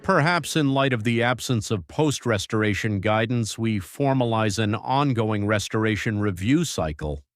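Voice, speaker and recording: An actor playing Brother Tom, a cautious church member who speaks carefully and procedurally. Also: male voice, lowish voice, expressive, normal speed, hardly any pauses, no room sound, clean studio sound, lightly acted. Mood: earnest.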